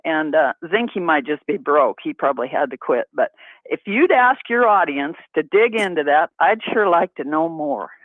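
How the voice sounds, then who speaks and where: A woman's voice: deep voice, expressive, moderate pace, no echo. A woman from Montana calling in to a talk show, chatty and folksy. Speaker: caller. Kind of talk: phone call. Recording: tinny phone line.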